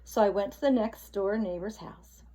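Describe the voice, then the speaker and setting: A woman voicing a chatty, self-excusing male wolf from a children's picture book, with exaggerated, drawn-out emphasis. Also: mid-range voice, dark tone, natural voice, poor audio. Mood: animated storytelling.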